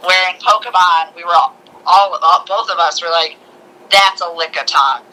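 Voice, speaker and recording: Woman, movie show co-host, sharp bright voice, excitable, casual chat, acts out quotes. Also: on the low side, normal speed, rough recording. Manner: excitable, acts out little quotes as she talks. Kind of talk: casual animated movie discussion.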